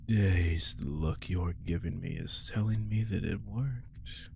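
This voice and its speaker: A man playing an arrogant, teasing, centuries-old vampire king in an intimate audio roleplay, casual and sardonic, with a low, gravelly voice. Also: takes it slowly, background noise, full character voice. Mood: coaxing, menacing. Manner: casual, sardonic, swearing, coaxing his prey.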